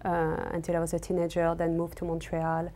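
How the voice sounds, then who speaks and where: Casting: a lower-voiced woman with a French accent, an artist who speaks haltingly and gently in her studio. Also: monotone. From raised in Senegal and Cameroon. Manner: hesitant, many fillers and restarts. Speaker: textile artist guest. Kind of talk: casual interview.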